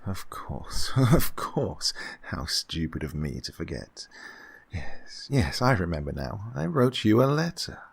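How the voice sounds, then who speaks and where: An actor playing an unctuous, scheming gentleman employer who feigns kindly absent-mindedness, fussy and repetitive, in an old-time radio horror drama style. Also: sits low, sing-song, slow pace, vocal fry, slightly rough, full character voice. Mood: oily, genial. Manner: fussy, hesitant, repeats himself, chuckling.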